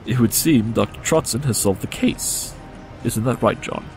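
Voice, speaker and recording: An actor playing a brilliant, condescending pony detective in an audio drama, curt and dryly sarcastic. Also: male voice, medium pitch, moderate pace, soft background music, lightly acted. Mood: composed, superior. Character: brilliant, dry, condescending male pony detective with cat allergy.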